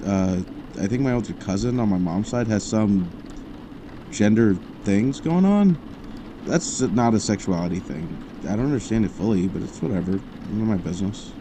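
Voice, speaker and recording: A man's voice: fairly low pitch, noisy background, natural voice. A man playing a matter-of-fact teenage boy in an audio drama, casual and understated.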